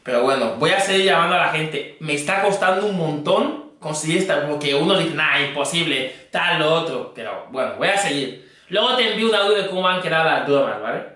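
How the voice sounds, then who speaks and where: A man's voice: on the high side, expressive, brisk, pushed and bright. A young adult man chatting casually on prank phone calls as a fitness trainer. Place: indoor green screen studio. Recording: very echoey room, distant mic.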